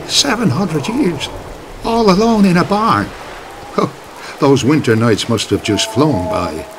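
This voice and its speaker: A man's voice: a little high, animated, unhurried, a little hiss or hum. An actor playing a cheeky, irreverent holy man in a video game, talking back with wry sarcasm. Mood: mocking. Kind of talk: video game cutscene acting.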